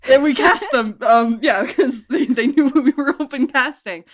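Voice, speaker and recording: A woman's voice: sits high, moderate pace. A young woman who produces and directs, chatting in a podcast interview, laughing often and talking with lots of fillers and restarts.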